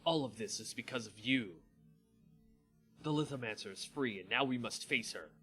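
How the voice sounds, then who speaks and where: A man's voice: medium pitch, normal speed, a bit bright, faint music behind, natural voice. A man voicing a bitter, snarling male vampire lord with menacing, clipped delivery in a fantasy story. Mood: menacing anger. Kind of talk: dramatic fantasy story reading.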